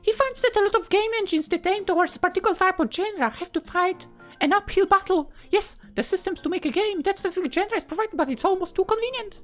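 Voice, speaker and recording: A man voicing a chipper female visual-novel character who explains things eagerly in a squeaky falsetto. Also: fast talker, a bit bright, faint music behind. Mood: earnest explaining.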